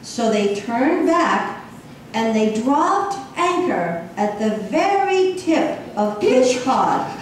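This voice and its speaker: Woman narrator, high voice, unhurried scripted storytelling, puppet show, heavily echoing hall. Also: very animated. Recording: echoey hall, distant mic, faint noise.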